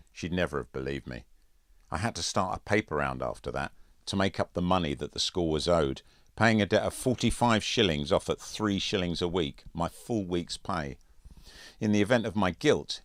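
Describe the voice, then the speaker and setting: A man's voice: sits low, fairly bright tone, no room sound, clean studio sound. A man reading a scripted first-person story as an audiobook narrator. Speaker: author reading own audiobook.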